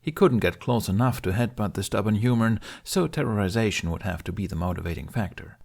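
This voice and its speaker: A man's voice: lowish voice, fairly quick, vocal fry, a little mellow. A man reading scripted fantasy fiction as an audiobook narrator.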